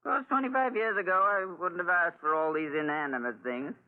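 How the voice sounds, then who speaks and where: A woman's voice: on the low side, normal speed, a little hiss or hum, lightly acted. A woman playing a brash, nosy middle-aged bar owner in 1940s radio style, coaxing and theatrical. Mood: coy, prying. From United States. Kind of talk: 1940s radio adventure acting. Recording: tinny 1940s radio recording.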